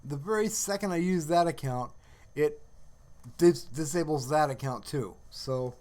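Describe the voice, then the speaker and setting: Rambling, higher-voiced man chatting casually. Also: darkish tone. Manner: rambling, halting, trails off mid-sentence. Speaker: livestream host. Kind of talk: casual livestream chat.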